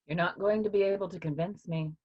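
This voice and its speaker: A woman playing a guarded, weary professor in a staged reading, reluctant and measured, as if haunted by something. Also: fairly even intonation, noisy background, close to an everyday voice. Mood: dismissive. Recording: dull, low-fidelity sound.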